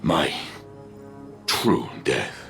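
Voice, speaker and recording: Very deep, gravelly robot warrior. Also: male voice, sing-song, fairly bright tone, faint music behind, full character voice. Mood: solemn, resolved. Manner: grave, deliberate, resolute. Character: solemn, stoic male robot warrior, a battle-worn veteran. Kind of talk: video game character acting.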